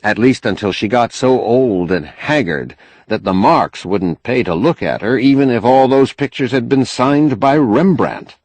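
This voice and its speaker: A man narrating a novel as an audiobook in a measured, expressive storytelling manner. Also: mid-range voice, no echo, poor audio. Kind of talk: scripted literary narration.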